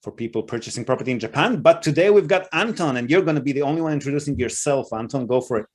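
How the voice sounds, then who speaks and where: A man hosting a casual interview, speaking in a brisk, informal way. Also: mid-range voice, animated. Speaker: host.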